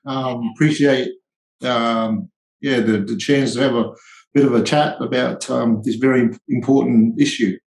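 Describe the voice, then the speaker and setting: A man's voice: medium pitch, fairly even intonation, measured pace, creaky, a little mellow, reverberant, slight background noise, low-quality recording. A man in his forties from Tonga, a tradie and charity founder, speaking hesitantly in a podcast interview with many ums and restarts.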